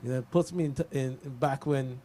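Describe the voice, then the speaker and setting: A man hosting a casual radio interview, speaking haltingly and repeating phrases as he goes. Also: mid-range voice, warm tone. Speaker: radio host. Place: radio studio.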